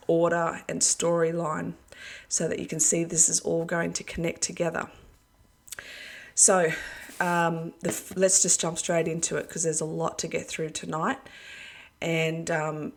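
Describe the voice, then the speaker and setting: A woman giving a casual video address to her followers as an online preacher, her voice hoarse and going, talking earnestly and rambling with many fillers. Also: lowish voice. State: losing her voice.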